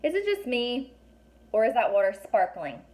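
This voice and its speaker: A woman reading the lines of a sarcastic, worrying young boy in a storybook, with a deadpan grumble. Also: on the high side, moderate pace.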